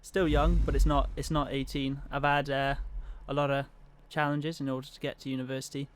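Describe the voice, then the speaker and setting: A man's voice: mid-range voice, level tone, brisk, a little hiss or hum. A man in his twenties from Wales, a student guest talking hesitantly and modestly, laughing easily. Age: 20s. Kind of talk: casual chat.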